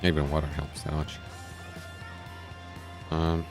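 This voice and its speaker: A man's voice: very deep voice, completely flat delivery, takes it slowly. A man reading a visual novel aloud as a streamer, with casual asides, in a somewhat strained, tired voice. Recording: background music.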